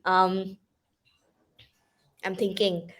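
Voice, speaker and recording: A woman's voice: mid-range voice, completely flat delivery, very slow, fairly bright tone. A young woman with an Indian English accent, a podcast guest talking through her path, with frequent fillers and asides. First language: Hindi. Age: young adult. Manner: thoughtful, with many fillers and asides. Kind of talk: conversational interview.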